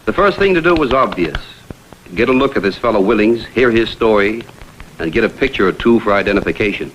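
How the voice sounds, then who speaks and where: An actor playing a tough, principled investigator in a vintage TV detective drama, speaking in a clipped, authoritative, businesslike way. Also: male voice, on the low side, animated, brisk, fairly bright tone, highs cut off, slight background noise. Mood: matter-of-fact. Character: tough, principled hired investigator, firm and blunt. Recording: vintage TV soundtrack, faint music.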